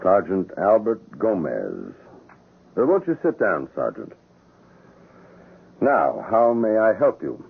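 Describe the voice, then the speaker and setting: A formal, guarded gentleman in a radio mystery. His voice is very low and husky, swooping theatrically through courteous phrases on a tinny old recording. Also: wildly swooping pitch, slow pace, long pauses, heavily acted. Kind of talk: 1970s radio drama acting.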